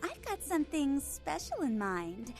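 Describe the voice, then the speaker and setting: Flirty, swooping nightclub dancer. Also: female voice, sits high, big pitch swings, unhurried, faint music behind, heavily acted. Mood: flirty, coy. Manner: coy, purring sales patter. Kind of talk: video game voice acting.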